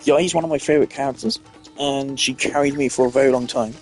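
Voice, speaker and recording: A man's voice: medium pitch, fairly even intonation, quick pace, soft background music, poor audio. A man streaming a video game, giving casual, opinionated commentary full of filler words.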